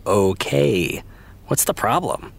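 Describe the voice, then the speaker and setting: A man's voice: fairly low pitch, sing-song, takes it slowly, vocal fry, full character voice. A young American man acting as a laid-back, supportive friend in a fiction podcast, with casual, teasing, slangy delivery. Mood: enthusiastic. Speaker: voice actor. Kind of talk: naturalistic fiction podcast dialogue.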